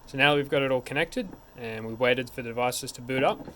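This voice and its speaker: A man's voice: medium pitch, fast, fairly bright tone. A man narrating a hands-on technical tutorial in an informal, step-by-step way. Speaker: tech support instructor. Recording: clear background noise.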